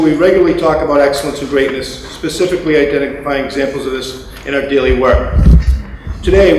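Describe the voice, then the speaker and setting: A man with a slightly raspy voice from a cold, giving an earnest, formal welcome speech at a school ceremony. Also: a little high, a little mellow. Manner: earnest, formal, reads prepared remarks. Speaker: school principal. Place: school auditorium. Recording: very echoey hall with faint noise.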